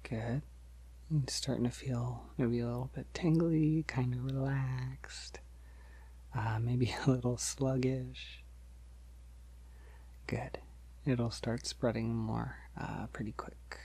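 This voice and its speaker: A man playing a polite, gentle, shy vampire in an ASMR roleplay, speaking softly and hesitantly to reassure the listener, often near a whisper. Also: medium pitch, fairly even intonation, slightly rough. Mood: gentle, reassuring. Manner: hesitant, reassuring, halting with soft chuckles.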